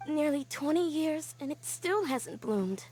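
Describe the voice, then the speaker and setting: A woman voicing an earnest young woman scholar in a game, telling her story quietly and sighing often. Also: high voice, lively intonation, a little slow, slight background noise. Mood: wistful. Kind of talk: game cutscene voice acting.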